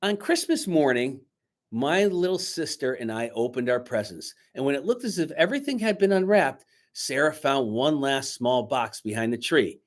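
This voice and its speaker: A man reading a picture book aloud dramatically to children, pausing to coax them with questions like a teacher. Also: mid-range voice, animated. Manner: dramatic, teacherly, coaxing young listeners with questions.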